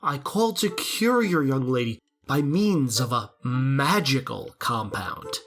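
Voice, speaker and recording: Woman playing a low-voiced, scheming old glassblower. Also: expressive, unhurried, exaggerated voice. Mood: earnest. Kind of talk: theatrical audio drama acting.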